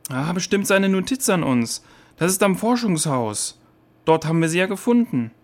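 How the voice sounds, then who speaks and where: Attentive, higher-voiced young investigator. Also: male voice, sing-song, moderate pace. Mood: attentive, puzzled. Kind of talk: children's audio drama acting.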